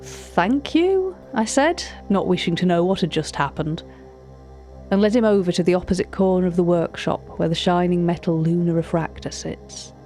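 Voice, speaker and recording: A woman's voice: lowish voice, animated, moderate pace, dark tone, soft background music, natural voice. A woman playing a cultured, wry narrator in an audio drama, with a formal, precise, drily opinionated way of speaking. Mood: hushed unease, wry. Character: cultured, wry art-catalogue narrator recounting uncanny encounters. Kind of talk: scripted narration of an audio fiction.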